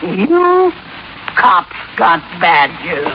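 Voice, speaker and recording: An actress playing a crabby, boozy, slow-witted older landlady who grumbles in slang, in an old-time radio detective drama. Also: lowish voice, sing-song, full character voice. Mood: grumbling, suspicious. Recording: noisy, muffled old radio recording.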